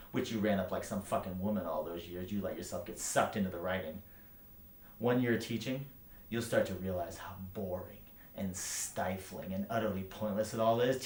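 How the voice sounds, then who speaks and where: An actor playing a bitter, brutally frank writing teacher in a theatrical monologue, speaking with scorn, emphasis and profanity. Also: male voice, on the low side, lightly acted. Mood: contemptuous. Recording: roomy echo, light background noise.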